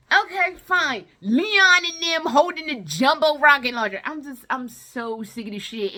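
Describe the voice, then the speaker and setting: Woman, high-pitched, bright forceful tone, exasperated, emphatic, casual review rant, frequent swearing. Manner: exasperated, blunt, heavy swearing. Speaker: video game and movie vlogger. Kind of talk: casual ranting movie review.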